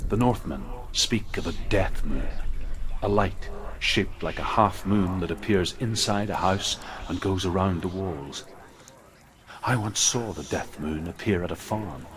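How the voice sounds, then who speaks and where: An actor voicing a grim old storyteller in a video game, solemn and ominous as he tells dark legends. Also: low voice, a little slow, background noise. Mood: grave. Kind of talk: dramatic scripted storytelling.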